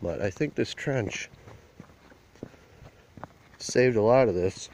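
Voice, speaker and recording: A man's voice: lowish voice, takes it slowly, a little mellow, slight background noise. A man vlogging on a phone as he walks a burnt field outdoors, talking in a plain, rambling rural way. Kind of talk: casual walk-and-talk vlog. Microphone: handheld phone camera while walking.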